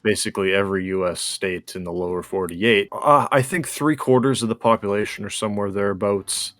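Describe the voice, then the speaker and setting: Male gaming co-player, lowish pitch, very dry sound, casual commentary, measured and explanatory. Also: steady tone, a bit bright, no echo. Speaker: guest co-player on a gaming show.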